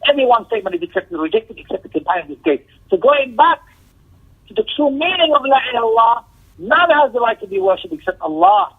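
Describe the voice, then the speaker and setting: A man's voice: very high voice, sing-song, quick pace, vocal fry, slightly rough. A man teaching a religious lesson in an emphatic manner, explaining a text and repeating phrases for stress. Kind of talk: Islamic lecture, explaining a text with Arabic recitation and quotations. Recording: tinny, narrow phone-like lecture audio.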